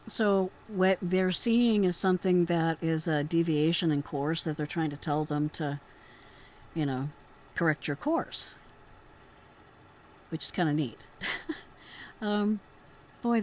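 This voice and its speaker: A woman's voice: deep voice, unhurried, a little mellow, a little hiss or hum. A woman talks through a recording as host. She is conversational and explanatory, openly admiring as she comments. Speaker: host, retired emergency dispatcher. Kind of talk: informal commentary on a recorded call.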